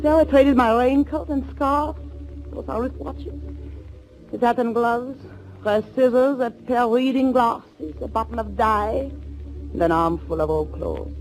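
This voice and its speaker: An actress playing a bereaved, steely young bride bent on revenge, with dramatic, measured delivery in 1940s radio thriller style. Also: female voice, on the high side, darkish tone, soft background music. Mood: somber. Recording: noisy old 1940s radio recording.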